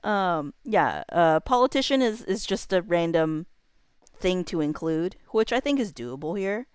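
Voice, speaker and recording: Low, clear-voiced woman streamer chatting casually. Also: expressive, measured pace, no room sound, clean studio sound. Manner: informal, laid-back, slangy, muttering to self while working. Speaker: livestreamer hosting a chatbot roleplay stream. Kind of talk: casual livestream chat, thinking aloud to viewers.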